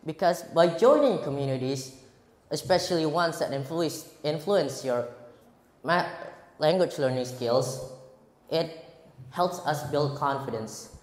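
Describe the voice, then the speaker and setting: A teenage boy from Indonesia with an Indonesian accent, giving a prepared speech at a school contest, earnest and halting, often restarting words. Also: fairly high pitch, animated. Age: teens. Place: large echoey hall. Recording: roomy hall with long reverb.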